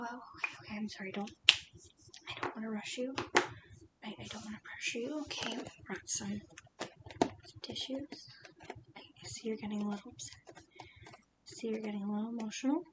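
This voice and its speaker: A woman playing a soft-whispering female detective. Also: natural voice. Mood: soothing. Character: calm, patient female police detective. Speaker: interrogator. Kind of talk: ASMR roleplay. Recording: steady low rumbling noise.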